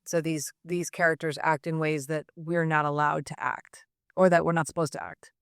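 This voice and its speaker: A woman author answers a question on a live panel in a loud, forthright voice. Her voice sits low and comes across smooth and clear. The sound is dry and close to the mic. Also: steady tone, moderate pace, dry recording. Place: library event space.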